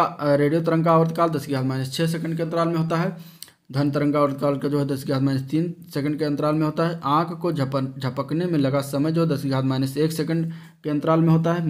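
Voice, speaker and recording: A man teaching an exam lesson, reading study notes aloud haltingly with brief asides and stumbling over technical terms. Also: on the high side.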